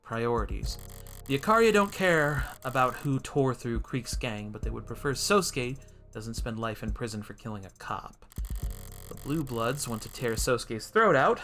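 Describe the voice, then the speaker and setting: A man's voice: medium pitch, animated, normal speed, bright, forceful tone, faint music behind. A man voicing a wry, brooding defense attorney in a world-weary noir game monologue. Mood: pensive, calculating. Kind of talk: noir visual novel narration.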